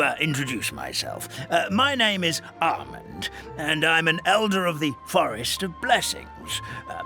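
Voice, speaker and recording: A chubby, forgetful old paper hamster from a game, fussing and stammering as he talks. His voice is gravelly yet bright and swoops widely. Light music runs behind him. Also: fairly high pitch, exaggerated voice. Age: older. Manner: fussy, stammering with many "uh"s.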